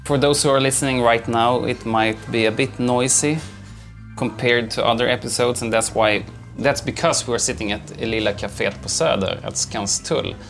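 A man's voice: mid-range voice, steady tone, moderate pace, gravelly, fairly bright tone, faint music behind. A man hosting a podcast, introducing the show and interviewing a guest. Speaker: podcast host.

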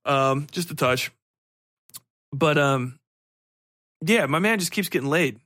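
A man's voice: a little high, animated, takes it slowly, pushed and bright, no echo, studio quality. A man hosting a book podcast, rambling through a casual review in blunt, irreverent, profanity-heavy slang. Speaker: podcast host reviewing books.

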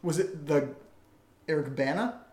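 A man's voice: a little high, unhurried, darkish tone. A man hosting a live podcast Q&A, chatting casually with the others. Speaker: podcast host, audio drama creator. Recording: roomy echo.

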